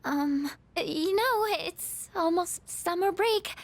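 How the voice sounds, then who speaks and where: Very high-pitched sweet schoolgirl. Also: slow, dry recording, exaggerated voice. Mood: friendly. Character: sweet, gentle, cheerful high school girl. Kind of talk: video game voice acting.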